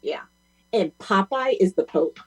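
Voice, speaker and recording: A woman's voice: mid-range voice, lively intonation, unhurried, a little mellow, breathy edge, rough recording. A woman playing an exasperated, sarcastic goth mother in an amateur audio play, complaining as she goes. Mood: aggrieved, sarcastic.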